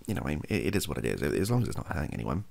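Young British male, soft-spoken ASMR chat; husky, lively intonation, hesitant and informal. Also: extremely low pitch, very fast. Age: young adult. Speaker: content creator. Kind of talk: softly spoken ASMR-style casual chat to camera. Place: small cluttered bedroom, indoors.

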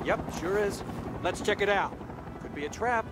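Curious game squad soldier, male actor: high voice, informal, eager, loud music behind. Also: moderate pace, lightly acted. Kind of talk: video game dialogue acting.

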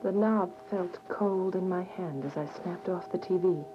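A woman's voice: on the low side, unhurried, lightly acted. A young woman playing an earnest, devout teenage daughter who narrates a radio drama. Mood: earnest, troubled. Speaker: narrator and lead character. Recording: muffled radio drama, music behind.

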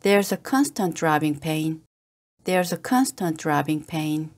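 Woman slowly drilling English phrases. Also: low voice, expressive, a little mellow. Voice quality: careful, clipped repetition. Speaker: English teacher. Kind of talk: slow drill reading of phrases.

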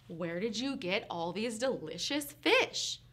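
A gullible, good-natured storybook bear, as a woman storyteller puts him on. He talks simply and trustingly, at a slow pace, in a bright voice with lots of pitch movement. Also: animated. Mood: trusting, eager. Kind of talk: children's storytelling.

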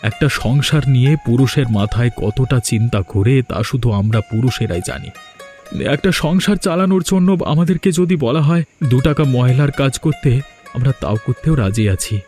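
A man's voice: mid-range voice, expressive, brisk, warm tone, soft background music, lightly acted. A man voicing a humble, hardworking village husband in a cartoon, speaking in an earnest, explanatory way. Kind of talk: cartoon dialogue.

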